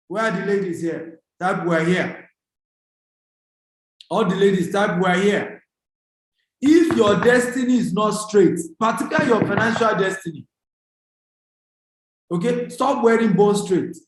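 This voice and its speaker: A Nigerian man speaks in a strongly echoing room, his voice pitched a little high. He delivers a motivational business seminar to people online, urging them on with emphatic, repeated key phrases. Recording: very echoey, reverberant room.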